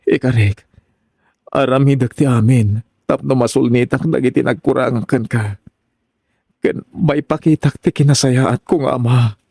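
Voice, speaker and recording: A man's voice: medium pitch, measured pace, gravelly, dark tone, slightly rough, no echo, clean studio sound. A man acting in a radio drama as a tender, remorseful middle-aged father back from prison, asking forgiveness. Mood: tender, grateful.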